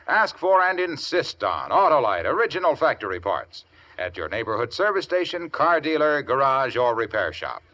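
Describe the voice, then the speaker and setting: A man's voice: fairly high pitch, sharp tone. A man announcing on 1950s radio, reading sponsor messages and credits in a polished, emphatic way. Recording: dull vintage radio broadcast with music.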